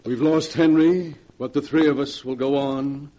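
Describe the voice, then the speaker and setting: A man's voice: medium pitch, fairly even intonation, unhurried, dark tone, dull audio, close to an everyday voice. A middle-aged man playing a solemn, anxious father, earnest and paternal, in 1940s radio horror acting. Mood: troubled. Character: grave, anxious father of a cursed family. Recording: noisy 1940s radio recording.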